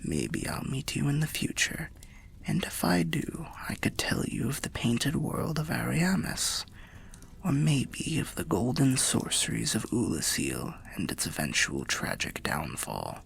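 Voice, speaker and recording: A man voicing a weary old undead soul, telling fantasy lore softly in a low, gravelly, breathy roleplay as a slow, courteous, cryptic storyteller. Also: huge pitch swings, whispered, full character voice. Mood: gentle, fond. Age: older. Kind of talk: soft-spoken fantasy roleplay ASMR narration.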